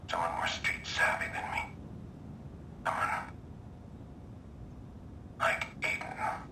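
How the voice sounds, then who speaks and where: An actor playing a bitter, scheming veteran hacker, with a breathy voice and deliberate, brooding, disdainful delivery in a video game audio log. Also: male voice, low-pitched, roomy sound, noisy background.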